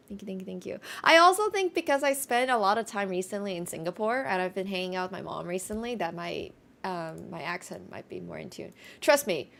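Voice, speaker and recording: A woman's voice: mid-range voice, gravelly, a bit bright. Chatty, slightly nasal young woman. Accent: American. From Finland. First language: Mandarin. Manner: chatty, quick asides, laughs often. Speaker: live streamer. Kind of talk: casual chat with stream viewers.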